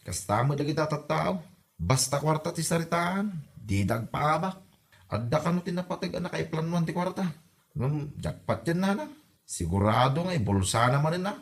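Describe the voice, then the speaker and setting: A man's voice: a little high, lively intonation, normal speed, gravelly. A man acting an earnest, aggrieved villager in an Ilocano comedy drama, with an Ilocano accent. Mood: dismayed. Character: earnest villager, aggrieved over withheld prize money.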